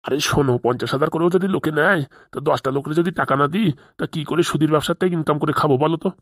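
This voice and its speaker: A man's voice: on the high side, rapid-fire, no room sound, clean studio sound. A man voicing a scheming, boastful elderly village father-in-law in a comedy cartoon, insistent and wheedling.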